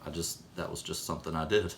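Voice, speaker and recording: A man with a very low voice tells a story in an offhand, conversational way. He hesitates and corrects himself as he wanders along. There is some background noise on the recording. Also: flat delivery, quick pace, vocal fry. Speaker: storyteller recalling his own childhood. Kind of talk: casual, rambling anecdote told in conversation.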